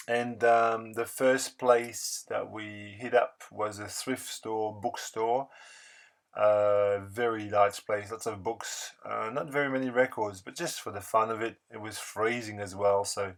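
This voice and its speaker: A man narrating a casual vlog voice-over in a halting, unscripted, rambling way. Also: on the low side, steady tone. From France. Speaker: record-collecting video blogger.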